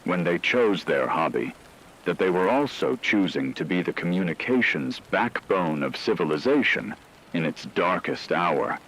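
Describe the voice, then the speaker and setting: A man as deep-voiced, solemn emergency radio announcer. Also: sing-song, fairly bright tone, breathy edge, heavily acted, low-quality recording. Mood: grave. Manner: solemn, measured, formal. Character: grave male radio anchor reporting a global catastrophe. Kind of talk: scripted news narration.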